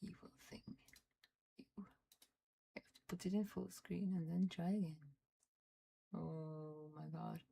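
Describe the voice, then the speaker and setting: Slow, gravelly Norwegian woman streamer. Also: fairly low pitch, steady tone, dark tone, a little hiss or hum. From Norway. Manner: frustrated, swearing, muttering under her breath. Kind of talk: casual livestream chat while fiddling with software.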